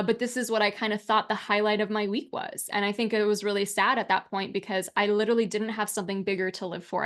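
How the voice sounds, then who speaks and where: A woman in her thirties gives an online class as a coach, talking in a chatty, upbeat way and often dropping "like" and "right?". Her pitch sits at an ordinary middle height for a woman. She speaks from a small room into a webcam and desk mic on a video call. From United States. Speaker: alcohol-free coach teaching an online class. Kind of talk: casual spoken class presentation over slides.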